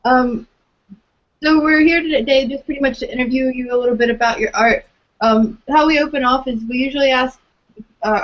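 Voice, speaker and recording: A woman's voice: on the high side, lo-fi sound. A woman hosting a casual podcast interview, putting questions to a guest in a conversational way.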